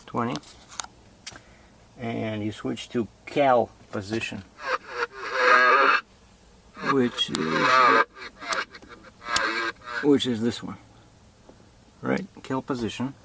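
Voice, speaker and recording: A man giving an informal hands-on tutorial in a halting, improvised way, as if thinking aloud. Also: mid-range voice. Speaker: demonstrator giving setup instructions. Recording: clearly noisy amateur recording.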